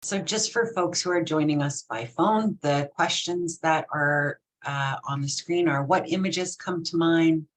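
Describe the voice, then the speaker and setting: Deep-voiced woman co-hosting. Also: a little slow, few pauses. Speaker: session co-host. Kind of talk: explaining to participants.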